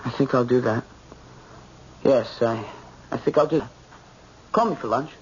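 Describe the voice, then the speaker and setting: A man's voice: medium pitch, normal speed, close to an everyday voice. An actor playing a shaken, brooding young American man, a grown son in an old-time radio drama, intense and insistent. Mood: weary. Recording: muffled, faintly noisy old radio recording.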